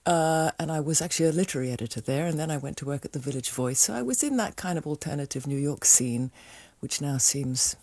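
Woman, 60s, native Greek speaker; husky, reflective, hesitant; dry studio sound. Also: deep voice, animated, fast, darkish tone, dry recording. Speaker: guest, writer and broadcaster. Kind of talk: radio interview guest. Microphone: studio mic.

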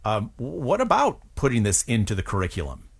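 A man from Iowa hosting a radio talk show, relaxed and conversational with hesitant fillers. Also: mid-range voice, brisk, creaky, slightly rough. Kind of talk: radio call-in discussion.

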